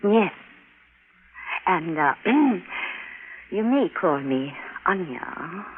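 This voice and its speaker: A woman playing a poised, gentle young Russian rocket pilot in a 1950s radio drama, reserved and courteous. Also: lowish voice, sing-song, very slow, heavily acted. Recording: noisy, tinny vintage radio broadcast.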